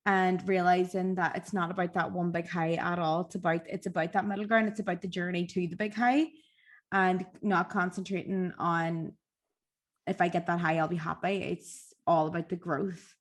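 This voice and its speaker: A woman's voice: on the low side, fairly even intonation, normal speed. A woman speaking as a guest on a podcast, sharing thoughts in a reflective, earnest way. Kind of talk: casual podcast chat.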